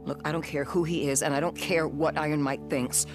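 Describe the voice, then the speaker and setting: An actress playing a firm, compassionate camp medic in a video game. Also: female voice, sits low, steady tone, brisk, music in the background, natural voice. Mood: firm, insistent. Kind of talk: naturalistic video game acting.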